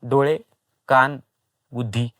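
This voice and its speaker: A man's voice: medium pitch, fairly even intonation, takes it slowly, a bit bright, no echo, clean studio sound. A man explaining his craft conversationally in an interview, emphatic, repeating phrases for stress and mixing in English words. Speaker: guest, voice and dubbing actor.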